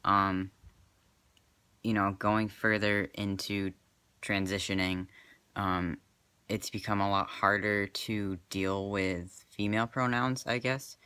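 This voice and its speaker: Man, 20s, casual vlog; low, flat, crisp delivery, hesitant with lots of fillers. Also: takes it slowly, dry recording. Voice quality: slightly crackly. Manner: soft-spoken, hesitant, many fillers. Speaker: vlogger.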